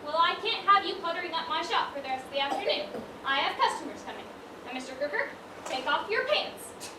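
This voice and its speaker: An echoey, noisy stage recording carries a woman playing a proper lady shopkeeper. She is brisk and exasperated, scolding in formal, emphatic phrases at a very high pitch. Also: sing-song. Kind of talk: amateur stage play acting. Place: large indoor performance space, far from mic. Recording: distant, echoey stage recording with loud noise.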